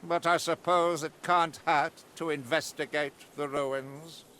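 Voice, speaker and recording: Casting: a zealous, stern witch hunter in a fantasy game; tense bright male voice, measured delivery, theatrical and self-righteous. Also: on the high side, steady tone, slight background noise. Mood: wry, disdainful. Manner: pompous, archaic, emphatic declamation. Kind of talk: theatrical scripted video game monologue.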